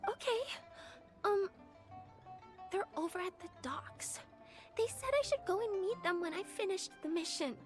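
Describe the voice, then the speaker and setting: A woman's voice: extremely high pitch, expressive, a little slow, pauses often, over music. A woman playing a sweet, hesitant, earnest little girl in a video game. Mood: meek. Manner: hesitant, childlike. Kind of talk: scripted video game voice acting.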